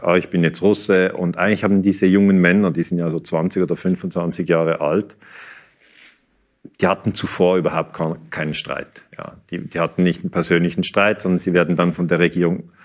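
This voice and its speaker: Deep-voiced man, measured and explanatory. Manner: measured, explanatory, with frequent restarts and hesitations. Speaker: historian and interview guest. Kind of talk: interview answers.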